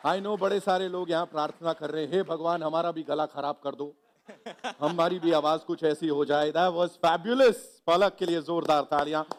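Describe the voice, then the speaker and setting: Man, Indian accent, live event host, fairly high pitch, effusive, rallying. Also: fairly even intonation, normal speed.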